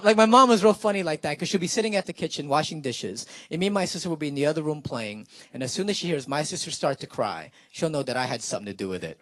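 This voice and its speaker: A man's voice: on the high side, fairly bright tone, dry recording. A young man doing stand-up comedy for a live crowd, chatty and playful, laughing and talking with the audience. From Philippines, raised in California. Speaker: stand-up comedian and spoken word performer.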